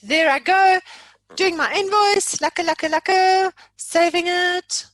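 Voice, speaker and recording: A South African woman giving an informal software demonstration, chatty and jokey, poking fun at herself. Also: very high-pitched, measured pace, dry recording. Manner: chatty, jokey, self-deprecating. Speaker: software trainer.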